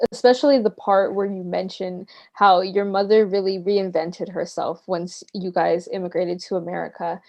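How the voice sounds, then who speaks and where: Woman moderator, mellow low voice, halting thoughtful questions over video call. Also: muffled recording. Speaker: panel moderator. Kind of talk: casual panel questions.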